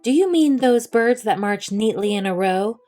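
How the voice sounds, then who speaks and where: A woman voicing a loud, hearty, oblivious male penguin in a storybook, blaring and chanting off-beat. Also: on the high side, lively intonation, normal speed, faint music behind, voice pushed up, natural voice. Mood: cheerfully oblivious.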